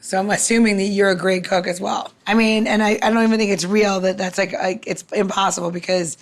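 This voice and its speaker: A woman's voice: medium pitch, brisk. A woman co-hosting a casual podcast, talking bluntly and acting out dialogue as she tells stories. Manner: blunt, salty, animated storytelling with quoted dialogue. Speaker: podcast co-host; cocktail maker. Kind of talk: casual podcast chat with anecdotes.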